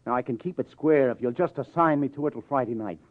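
An actor playing a hard-boiled, persistent police detective in 1950s radio crime drama, with dry, understated, probing questions. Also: male voice, medium pitch, fast talker, no room sound, lightly acted. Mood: insistent. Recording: muffled vintage radio recording, narrow band.

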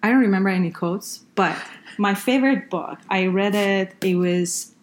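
A woman from Iran, Farsi her first language, a guest in a casual podcast interview, speaking reflectively with hesitations and soft laughs. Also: mid-range voice, moderate pace. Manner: reflective, hesitant with many fillers, laughs softly. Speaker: guest engineer.

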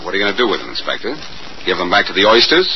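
A muffled, hissy old radio recording carries a quick-witted male sleuth. He banters in a swinging, animated delivery, cocky and wry in 1940s crime-drama style. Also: low-pitched, full character voice. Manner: wisecracking, fast banter.

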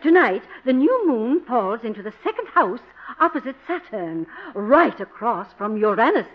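A woman's voice: a little high, big pitch swings, moderate pace. An actress playing a flirtatious, gushing middle-aged mother obsessed with astrology, in a 1950s radio comedy. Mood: earnest, dreamy. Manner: gushing, coy, giggly, airy. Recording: muffled, noisy 1950s radio recording.

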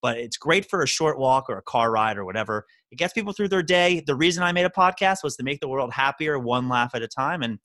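A man guesting on a podcast, chatting casually and telling animated stories. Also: mid-range voice, no echo. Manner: animated, quick storytelling. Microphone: podcast microphone.

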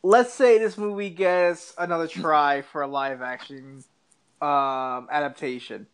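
A man with a somewhat high voice speaks as a podcast co-host. His style is informal chat, tossing out questions and suggestions to keep the talk going. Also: measured pace, smooth. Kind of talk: casual chat, prompting with questions.

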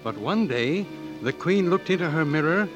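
An actor playing a storybook narrator, telling a fairy tale in a measured, dramatic 1940s radio style. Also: male voice, a little high, lively intonation, natural voice. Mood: storytelling. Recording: old radio, music behind.

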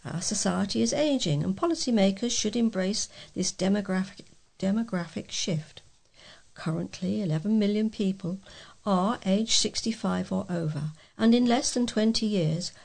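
Female amateur newsreader, strongly gravelly voice, reading community bulletins from a script. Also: medium pitch, moderate pace, a little mellow. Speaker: volunteer newsreader for a local talking newspaper. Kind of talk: read-aloud local news.

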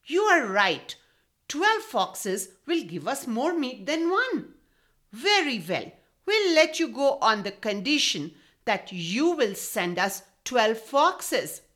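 A woman's voice: on the high side, big pitch swings, slow, fairly bright tone, full character voice. A woman voicing a clever, bold male goat in a children's story, boasting and giving orders. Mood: commanding.

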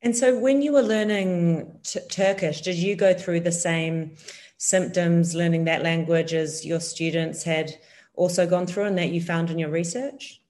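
A woman's voice: lowish voice, steady tone, measured pace, a little mellow. A woman interviewing a guest, asking long, carefully framed questions. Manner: asks long framing questions.

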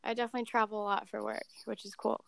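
A woman in her twenties, a podcast guest, chatting casually about her work in a level, monotone way. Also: on the high side, racing, creaky, no echo. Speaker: podcast guest, assistant director. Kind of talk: casual chat.

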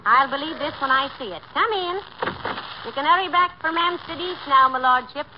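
On a dull, hissy old radio recording, a woman plays a patient, skeptical wife. Her high voice delivers dry, gently chiding lines in the manner of 1940s radio comedy. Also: normal speed, close to an everyday voice. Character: patient, drily skeptical wife, middle-aged. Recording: muffled, noisy vintage radio recording.

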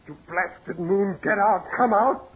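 A man's voice: high-pitched, takes it slowly. An actor playing a proud, practical businessman fighting dread in 1940s radio horror, with theatrical, repetitive insistence. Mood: feverish excitement. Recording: scratchy, tinny 1940s radio recording with noise.

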